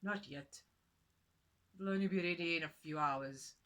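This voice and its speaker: A woman's voice: on the low side, unhurried, fairly bright tone. A woman voicing a grumpy, scolding village husband, blunt and emphatic, as a storybook character. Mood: apologetic.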